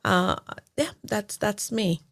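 A woman's voice: mid-range voice, expressive, slow pace. A woman from El Salvador, a certified life coach, talking reflectively in a casual interview with many fillers and restarts.

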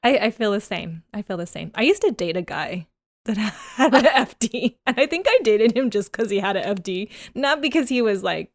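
Lively, chatty woman hosting a podcast. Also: medium pitch, normal speed, dry recording, studio quality. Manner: giggly, informal, expressive. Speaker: podcast host. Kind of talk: casual chatty interview.

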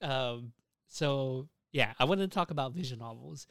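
A young man chats as a podcast host in a clean, smooth voice at a medium pitch. He is enthusiastic and opinionated, talking in loose slang with plenty of swearing. The sound is close and dry. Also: moderate pace, fairly bright tone, no room sound. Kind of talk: casual podcast chat.

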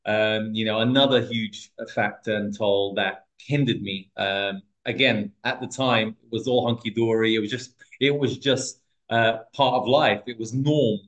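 A man's voice: on the low side, steady tone, unhurried, a bit bright, rough recording. A man from Portugal in his thirties, a podcast guest telling his life story in a casual, hesitant way with many restarts and fillers.

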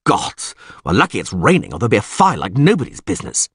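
A man's voice: lowish voice, huge pitch swings, fast talker, breathy edge, no room sound, clean studio sound, full character voice. A man voicing a flustered, bossy town official in an audiobook, grumbling and barking orders. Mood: exasperated. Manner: blustering, grumbling. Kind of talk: audiobook character voice.

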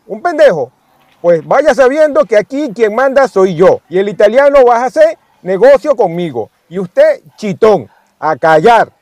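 Radionovela role, male actor: folksy, jealous Venezuelan boss of a bar, high and very animated, blustery, closely recorded. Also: no echo. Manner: blustery, colloquial. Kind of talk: radionovela acting.

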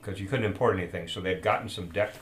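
A man's voice: low-pitched, quick pace. A man around seventy, raised in South America, chatting casually and rambling through stories with many restarts. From born in Peru, raised in Bolivia. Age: about 70. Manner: rambling, trails off and restarts mid-thought. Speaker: podcast guest. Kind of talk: casual chat, storytelling. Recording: roomy with faint noise.

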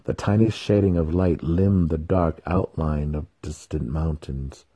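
A man's voice: extremely low pitch, steady tone, measured pace, mellow, husky. A man reading fantasy fiction as a grave, dramatic scripted narration. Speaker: audiobook narrator.

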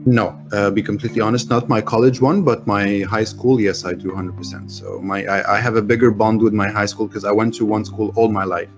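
A man from Egypt speaks in a low, earnest voice as an interview guest, often stopping and restarting his sentences. The audio is dull and lo-fi, and music plays clearly behind him. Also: steady tone. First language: French. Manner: earnest, halting with many restarts. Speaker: interview guest, business owner. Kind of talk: casual interview talk.

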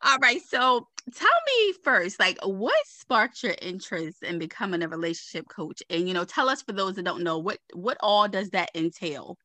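A woman's voice: medium pitch, very animated, normal speed, hardly any pauses, pushed and bright, no echo. A young woman from Baltimore hosting a podcast interview in a casual, affirming way, laughing often. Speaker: podcast host.

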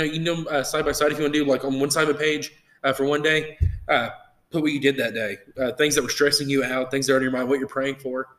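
Fast-talking young man, age twenty. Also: mid-range voice, fairly bright tone. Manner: eager, explanatory, many uh fillers. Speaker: podcast co-host.

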